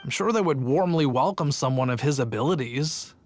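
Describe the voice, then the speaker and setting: A man's voice: mid-range voice, lively intonation, brisk, no room sound, close to an everyday voice. A man playing an earnest, timid, talkative city guard in a video game, telling things like a storyteller. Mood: puzzled earnest. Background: music.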